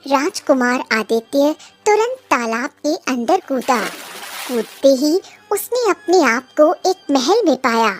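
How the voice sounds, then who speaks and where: A woman tells a scripted cartoon fairy tale for children. Her voice sits very high, and clear background music runs beneath the narration. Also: very animated, takes it slowly. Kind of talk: scripted children's cartoon storytelling, also voicing dialogue.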